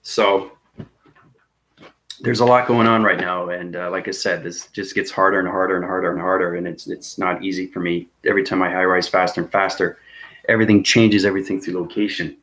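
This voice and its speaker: Guy rambling on about cosmic stuff, low voice, repeats himself a lot. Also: steady tone, fairly quick, a bit bright. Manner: rambling, repetitive, laughs at himself. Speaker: video host. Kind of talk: rambling cosmic monologue to viewers.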